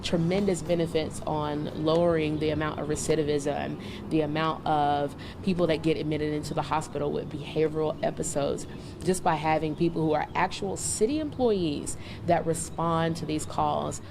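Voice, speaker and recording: A woman's voice: deep voice, fairly even intonation, moderate pace, gravelly. A woman in her thirties from the southern United States, an activist answering interview questions conversationally. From Chattanooga, Tennessee, United States. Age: 30s. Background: music bed.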